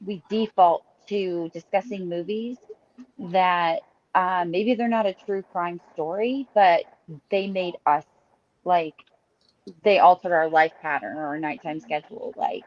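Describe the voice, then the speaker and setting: A woman co-hosting a casual podcast, chatty and quick to laugh. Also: fairly low pitch, steady tone, a little mellow, dry recording. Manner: chatty, laughs easily, suggests ideas. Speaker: podcast co-host. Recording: dull lo-fi mic.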